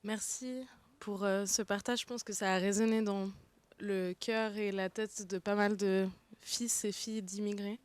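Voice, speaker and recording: A woman moderating a festival panel, asking measured, hesitant questions. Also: medium pitch, fairly even intonation, smooth, no room sound. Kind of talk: panel discussion.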